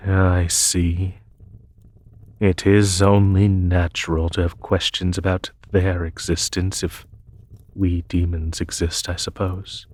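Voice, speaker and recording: A man playing a noble, weary, peace-seeking demon emperor in a fantasy audio roleplay, speaking in a formal, measured way. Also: low voice, flat delivery, darkish tone. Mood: calm, patient. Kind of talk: fantasy audio roleplay, spoken softly to one listener.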